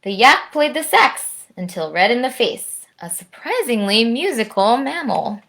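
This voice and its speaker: An American woman reading aloud and chatting to young children in an expressive storytime manner. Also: fairly high pitch, measured pace. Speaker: orchestral musician, guest storytime reader.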